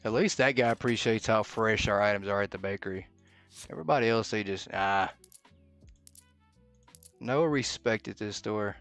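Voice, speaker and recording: A man's voice: fairly low pitch, normal speed, long pauses, a bit bright, soft background music. A man giving live gameplay commentary as a streamer, thinking aloud and muttering to himself as he plays. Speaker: game streamer.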